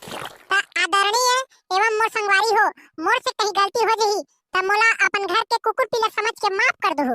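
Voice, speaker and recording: A performer voicing a cheeky village schoolboy who talks back and sings silly songs, with a Chhattisgarhi accent and a squeaky cartoon voice. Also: fairly even intonation, moderate pace, heavy background noise. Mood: singing. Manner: brash and mischievous, talks back to the teacher. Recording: cartoon voice over music. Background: music.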